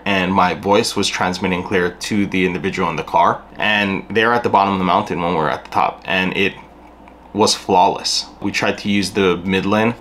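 A man's voice: low-pitched, a bit bright, a little hiss or hum. A middle-aged man giving a casual, plainspoken product review to camera, explaining things as he goes in a small room at home. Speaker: gear reviewer.

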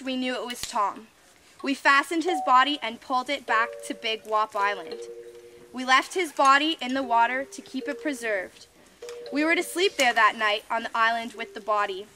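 A shaken witness recalls finding a drowned man, as a young woman reads the part aloud. The reading is earnest and read straight from the page, with stumbles along the way. The voice is high and bright. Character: 1917 witness who found the drowned body. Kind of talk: reading a scripted ghost-story monologue.

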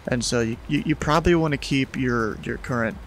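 A man's voice: mid-range voice, brisk, slight background noise. A young man hosting a live stream from his home room, talking bluntly and casually with lots of slang. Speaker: streamer host.

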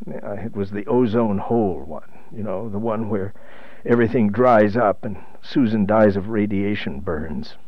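A man's voice: lowish voice, normal speed, few pauses, no echo, lo-fi sound, lightly acted. An actor playing a nervous, weary divorced man with a hesitant delivery in a radio drama. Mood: weary, resigned. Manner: hesitant, stammering.

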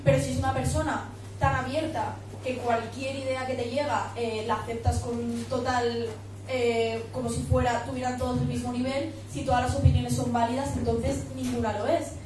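A woman's voice: a little high, level tone, normal speed, background noise. Young woman speaking in an echoey pub. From Spain. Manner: friendly, chatty, with many fillers. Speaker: book author and speaker. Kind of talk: public talk presentation. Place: pub event room. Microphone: handheld microphone. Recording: echoey room, far camera.

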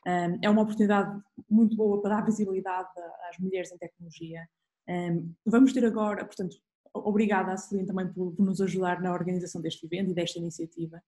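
A woman's voice: lowish voice, fairly even intonation, rapid-fire, dark tone, rough recording. A young woman with a Portuguese accent informally introducing a live online event as host over a video call. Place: indoor room.

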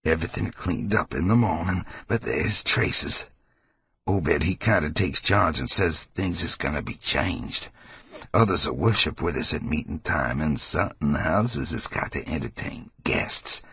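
A man voicing a hysterical, frightened old New Englander with a rasping voice and rambling rural dialect, in an audiobook horror story. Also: rumbling low, animated, a little slow, a bit bright, full character voice. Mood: grim, secretive. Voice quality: gravelly, husky old man's rasp. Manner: rambling folksy dialect, cackling and fearful. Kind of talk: dramatized audiobook character voice.

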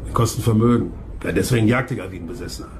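A man's voice: on the low side, very fast, background noise, close to an everyday voice. An actor playing a coarse, self-justifying whaling bosun in his fifties in a radio play. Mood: bitter, indignant. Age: 50s. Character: gruff whaling bosun in his fifties, defensive, hardened sailor.

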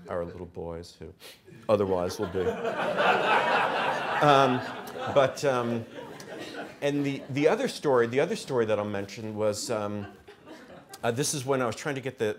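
A man in his fifties speaks from an auditorium podium as an author at a reading. His voice sits fairly low, and he talks informally in halting phrases with a wry, self-deprecating manner. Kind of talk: informal anecdotal talk to an audience. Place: wood-panelled auditorium stage. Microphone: podium microphone and lapel mic.

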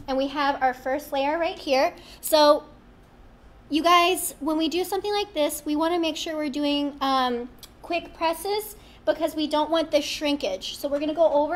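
A young woman hosting a live craft demo in a small studio, chatty and playful, with a high, girlish voice. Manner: chatty, playful, self-teasing. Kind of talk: live-streamed product demo. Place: small studio with branded backdrop, indoors.

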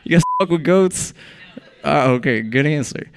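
Young man, from Iraq, stand-up set, wry deadpan, handheld mic, small club, faint background noise. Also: fairly high pitch, normal speed. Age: young adult. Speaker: stand-up comedian. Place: small comedy club stage. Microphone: handheld stage mic.

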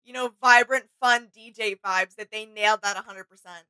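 Bright-voiced young woman, casual chat. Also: mid-range voice, steady tone, moderate pace, clean tone, poor audio. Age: young adult. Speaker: reaction video co-host. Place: small indoor room with gaming setup.

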